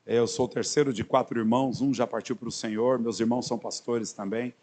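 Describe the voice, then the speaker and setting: A man's voice: medium pitch, fairly even intonation, fast talker, mellow. A man in his fifties from São Paulo with a São Paulo accent, a pastor introducing himself to a congregation in a chatty, joking way with many fillers and restarts. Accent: São Paulo Portuguese. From Brazil. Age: 50s. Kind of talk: sermon introduction, speaking to a congregation.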